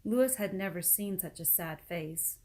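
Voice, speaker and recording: A woman reading a children's book aloud as an expressive storyteller. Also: medium pitch, normal speed. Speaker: storybook reader.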